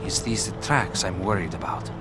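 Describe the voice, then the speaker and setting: Animated Italian army officer. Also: male voice, low-pitched, moderate pace, noisy background. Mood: worried, urgent. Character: Italian WW2 officer, worried for his missing brother, wry. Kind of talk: video game cutscene acting.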